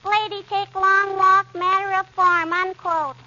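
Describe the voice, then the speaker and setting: A woman's voice: extremely high pitch, level tone, measured pace. A woman playing a cheeky, chatty little girl who pesters with questions in an old radio comedy. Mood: cheeky, playful. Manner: pestering, says "hmm?" and "I betcha". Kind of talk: 1940s radio comedy acting. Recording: muffled old radio recording, hiss, studio audience.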